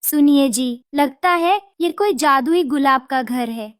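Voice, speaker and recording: Very high-voiced little cartoon bird wife, woman acting. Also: takes it slowly, dry recording, clean studio sound. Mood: wondering. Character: meek, pleading young married female bird. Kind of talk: Hindi moral-story cartoon voice acting.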